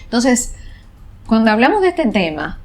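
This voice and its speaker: A woman's voice: a little high, very animated, normal speed. A woman sex educator, a guest on a talk, explaining her ideas in long, flowing, emphatic sentences. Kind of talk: conversational panel talk.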